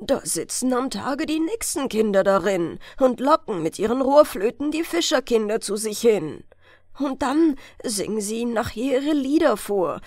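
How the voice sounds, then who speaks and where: A woman's voice: a little high, animated, normal speed, darkish tone, no room sound, studio quality. A woman voicing a stern old fisherman father in a fairy tale, admonishing his child emphatically and with vehemence. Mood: grave explaining.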